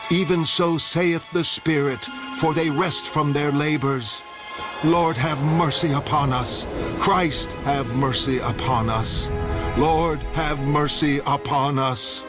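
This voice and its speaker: Man voicing a solemn priest, slow measured recitation, liturgical, music behind. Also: fairly high pitch, steady tone. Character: solemn male priest at a funeral.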